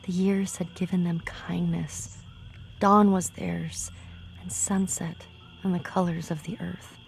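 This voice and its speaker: A woman reading poetry and quotations for game audio logs in a soft, contemplative, measured way with long pauses. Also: lowish voice, little pitch movement, vocal fry, a little hiss or hum, rough recording. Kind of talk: reflective recitation of poetry and quotations. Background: game ambience.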